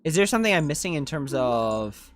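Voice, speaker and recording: Casual game streamer with lively, swinging pitch. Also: a little fast, slight background noise. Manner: casual, slangy, thinking out loud. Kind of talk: casual gameplay commentary, reading chat.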